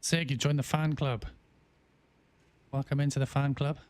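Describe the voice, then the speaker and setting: A man live-streaming a farming game, chatting casually with viewers and answering their messages, often pausing with ums and restarting his sentences. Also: mid-range voice, level tone, darkish tone. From England. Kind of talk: casual live-stream chat while playing.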